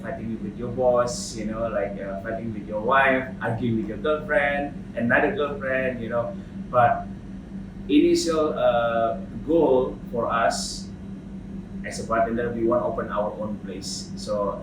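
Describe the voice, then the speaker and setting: A middle-aged Indonesian man with an Indonesian accent, a bartender talking in an interview, halting with many fillers and repeated words. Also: mid-range voice, background noise. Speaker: bartender and bar founder. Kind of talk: interview monologue. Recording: roomy sound from a distant mic, clear background ambience.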